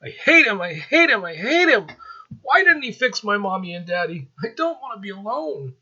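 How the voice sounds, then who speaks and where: A man acting a high-pitched grieving little boy. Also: sing-song, normal speed, bright, forceful tone, husky, lo-fi sound, heavily acted. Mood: anguished. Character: little orphaned boy, grieving, tearful, vengeful.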